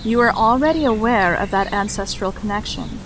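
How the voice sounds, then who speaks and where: A woman performs an ancient-blooded older sorceress in a fantasy audio drama. She is patient and cryptic, with a formal, solemn delivery at a middling pitch. Light ambient noise sits behind the voice. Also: moderate pace, close to an everyday voice, poor audio. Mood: solemn explaining.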